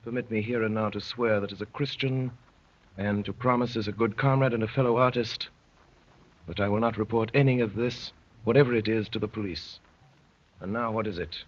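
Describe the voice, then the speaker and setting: A man acting a courteous, wry gentleman poet who narrates his strange adventure in measured, literary phrases, in 1930s radio drama style. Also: lowish voice, steady tone, slightly rough. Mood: wry, polite. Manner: measured, literary, dryly polite. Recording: muffled, worn 1930s radio recording.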